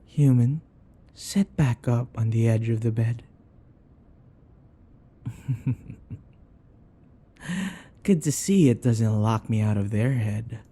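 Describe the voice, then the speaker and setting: Vampire lover played by a man: possessive, weary, jealous, mellow husky tone, drawn-out delivery for ASMR roleplay. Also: medium pitch. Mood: smug, cooing.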